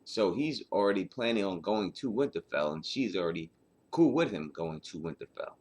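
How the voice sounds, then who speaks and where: A man's voice: low voice, lively intonation, moderate pace, darkish tone. A man hosting a book analysis, giving casual, off-the-cuff commentary with emphatic repetition and rhetorical questions. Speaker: host of book analysis show.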